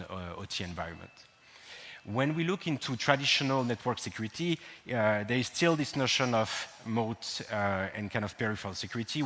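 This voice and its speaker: French man giving a talk in a hall. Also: on the low side. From France. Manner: relaxed, hesitant, frequent ums and restarts. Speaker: conference speaker. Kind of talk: technical conference talk. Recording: long hall reverb.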